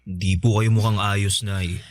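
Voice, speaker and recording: Casting: man as attentive thirties son, lowish voice, Filipino accent, tender and concerned, radio drama. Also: flat delivery, measured pace, no echo, clean studio sound, close to an everyday voice. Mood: worried, tender. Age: 30s. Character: caring, worried adult son nursing his mother. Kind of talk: Tagalog radio drama acting.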